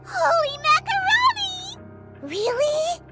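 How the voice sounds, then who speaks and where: A woman's voice: extremely high pitch, sing-song, slow, full character voice. An actress playing a naive, boastful, childlike girl soldier in a video game, with a gushing, theatrical delivery. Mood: wide-eyed excitement. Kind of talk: English video game dub acting. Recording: music behind the voice.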